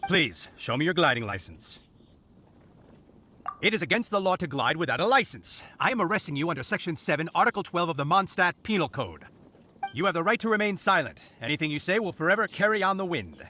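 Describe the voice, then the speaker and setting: A man's voice: a little high, sing-song, fairly quick, bright, forceful tone, slight background noise. A man playing an officious, dutiful knight on guard duty in an anime-style video game, speaking in a formal, declamatory way. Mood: stern, official. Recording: music behind the voice.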